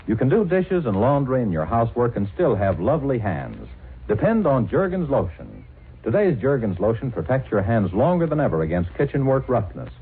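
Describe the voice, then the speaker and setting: Animated raspy American radio pitchman. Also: a little fast. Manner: persuasive, polished salesman delivery. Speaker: radio advertising announcer. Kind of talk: 1940s radio commercial pitch. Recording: lo-fi 1940s radio broadcast.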